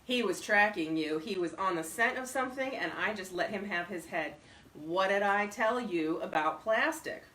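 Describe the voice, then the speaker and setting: A woman voicing a talkative, boastful, genial older man in a storybook, loud, emphatic and folksy. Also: medium pitch, expressive, moderate pace, voice pushed up. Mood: amiable, chatty. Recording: roomy echo, distant microphone.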